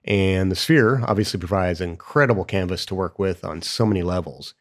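A man hosting a podcast, hoarse from the flu, reading a subdued introduction. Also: deep voice, brisk. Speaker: podcast host. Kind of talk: scripted intro.

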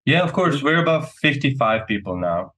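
A man's voice: mid-range voice, big pitch swings, moderate pace, fairly bright tone, breathy edge. A man from Serbia talking casually and earnestly as a company co-founder being interviewed, often checking in with "right?".

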